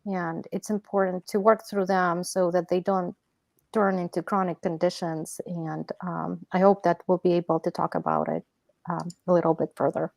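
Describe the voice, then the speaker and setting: Measured woman from Ukraine, dry sound. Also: lowish voice, little pitch movement, vocal fry, darkish tone, dry recording. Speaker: guest. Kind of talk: conversational interview.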